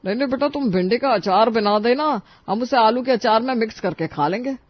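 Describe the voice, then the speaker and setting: A man's voice: extremely high pitch, lively intonation, fairly quick, dry recording. A man voicing a hearty, teasing older father-in-law who loves his food, on a dry, muffled studio recording. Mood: jovial.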